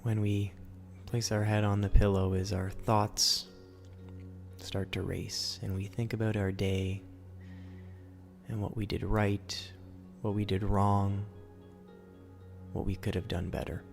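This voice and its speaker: A man leading a guided sleep meditation as host, in a soothing, gentle, instructional manner. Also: fairly low pitch, little pitch movement, slow, vocal fry, long pauses, soft background music.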